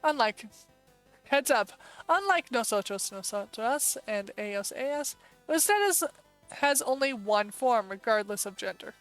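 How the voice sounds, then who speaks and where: Smooth-voiced chatty American woman streamer. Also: a little high, expressive, a bit bright, soft background music, rough recording. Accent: American English. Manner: chatty, thinking aloud, quick asides. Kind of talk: casual live-stream commentary.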